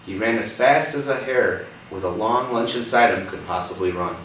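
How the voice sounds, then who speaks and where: Man, lowish voice, patient read-aloud, coaxing questions, recorded from a distance, noisy background. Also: normal speed, fairly bright tone. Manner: patient, coaxing, checks in with "right?". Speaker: storyteller reading aloud to children. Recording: echoey room, distant mic, background noise.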